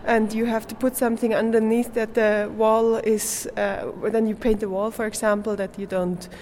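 A woman's voice: a little high, little pitch movement, moderate pace, darkish tone, slight background noise. A young woman from Switzerland, a native German speaker, answering interview questions in English, hesitant and searching for words, laughing often. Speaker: art student.

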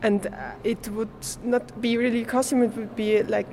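A woman's voice: a little high, flat delivery, normal speed, mellow, a little hiss or hum. A young woman from Switzerland, a native German speaker, answering interview questions in English, hesitant and searching for words, laughing often. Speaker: art student.

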